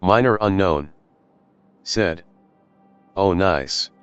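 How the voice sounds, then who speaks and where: A man with a low voice chats casually on a game livestream. He talks slowly, with game music clearly playing behind him. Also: no room sound, dull audio. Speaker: game streamer.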